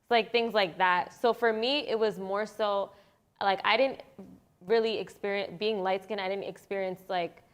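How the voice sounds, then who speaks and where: Panel guest, woman, mid-pitched, chatty storyteller who laughs easily. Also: fairly even intonation, normal speed, smooth, dull audio. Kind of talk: casual panel conversation.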